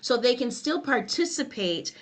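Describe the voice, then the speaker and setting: A woman's voice: fairly high pitch, very animated, normal speed. A middle-aged woman with a Liberian English accent, giving an earnest, conversational webinar presentation with many "you know" fillers. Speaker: workshop presenter.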